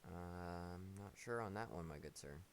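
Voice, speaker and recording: Deep-voiced laid-back man hosting. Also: normal speed, a little hiss or hum. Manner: laid-back, slangy, joking. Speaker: tournament host. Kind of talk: casual live-stream hosting.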